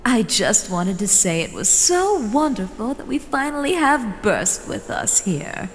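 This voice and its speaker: A woman voicing a gracious but chiding vampire elder in a fantasy audio drama, deliberate and theatrical. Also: mid-range voice, big pitch swings. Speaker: voice actor. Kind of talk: scripted fantasy character dialogue. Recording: long reverb, faint background noise.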